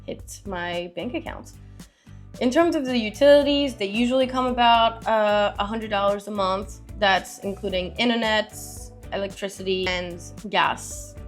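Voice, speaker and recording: A young woman with a slightly hoarse, raspy voice after being sick, chatting casually and upbeat to camera from home, with many fillers. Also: mid-range voice, unhurried, faint music behind. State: slightly hoarse from recent illness. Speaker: personal finance vlogger. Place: at home, seated with laptop and tea.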